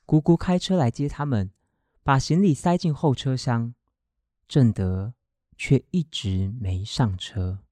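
Taiwanese guy narrating a radio story, soft dark tone, dry close sound. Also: mid-range voice, unhurried, dry recording. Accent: Taiwanese Mandarin.

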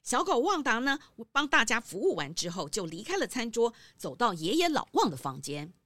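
A woman's voice: on the high side, sing-song, normal speed, fairly bright tone, slight background noise. A woman narrating a scripted children's mystery story as a storyteller. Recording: music under the narration.

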